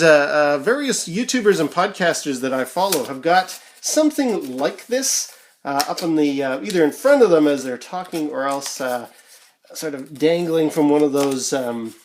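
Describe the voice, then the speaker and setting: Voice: mid-range voice, darkish tone. Canadian youtuber doing a casual unboxing, kinda rambly and hesitant, voice goes up and down a lot, bit of background noise. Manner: rambling, hesitant, thinking aloud.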